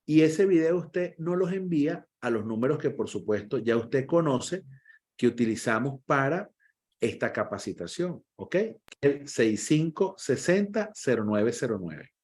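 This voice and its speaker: A man, a first aid instructor, closing an online class over a video call in a lively, encouraging way and repeating himself often. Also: mid-range voice, measured pace.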